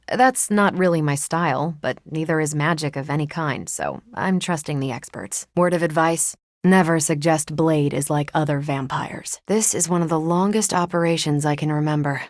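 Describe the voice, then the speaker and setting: A woman playing a confident American superhero soldier for a video game, pitched a little low and recorded close and dry. She is tough but kind, with a sardonic, offhand way of talking. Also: normal speed, few pauses, no room sound, close to an everyday voice. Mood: serious, sincere. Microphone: close, dry studio microphone.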